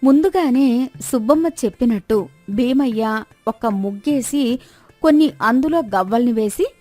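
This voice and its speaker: A woman's voice: fairly high pitch, unhurried, mellow, dry recording, faint music behind. A woman reading a scripted moral story as a storyteller. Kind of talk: scripted story narration with acted dialogue.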